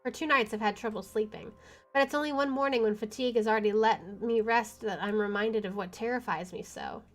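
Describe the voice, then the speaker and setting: A woman's voice: a little high, fairly quick, soft background music. A woman streamer reading visual novel narration aloud, expressively, with casual chatty asides. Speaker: video game streamer.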